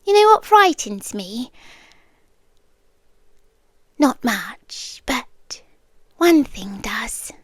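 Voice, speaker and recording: A British woman playing a possessive, calmly menacing yandere girlfriend in an intimate ASMR roleplay, breathy and often dropping to a whisper. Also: on the high side, no echo, exaggerated voice. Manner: slow, deliberate, breathy, drifting into whispers.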